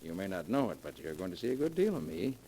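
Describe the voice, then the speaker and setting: An actor playing a lovestruck, easily shaken husband in a dramatic, emphatic 1940s radio acting style. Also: male voice, deep voice, big pitch swings, fast, dark tone. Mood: warm, eager. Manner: dramatic, emphatic, repeats himself in disbelief. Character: lovestruck husband, growing shaken and stubbornly disbelieving. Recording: noisy vintage 1940s radio recording, organ behind.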